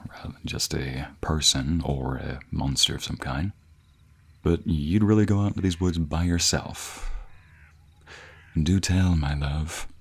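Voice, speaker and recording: A man's voice: extremely low pitch, fairly bright tone, breathy edge, heavily acted. A man playing a playful, doting scoundrel of a lover in a romantic audio roleplay, teasing affectionately. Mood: curious, tender. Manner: teasing, affectionate, chuckling banter.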